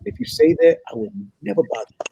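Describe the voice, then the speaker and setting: A man's voice: fast talker, warm tone, lo-fi sound, slight background noise, close to an everyday voice. A man playing an earnest, lovesick young African prince in a comedic table read, pleading dramatically. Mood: impassioned. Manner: pleading, dramatic, repeats words.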